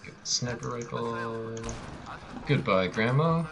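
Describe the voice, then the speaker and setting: A man's voice: mid-range voice, lively intonation, slow pace, gravelly, music in the background. A man giving casual live commentary on his own video game speedrun, with playful, joking asides.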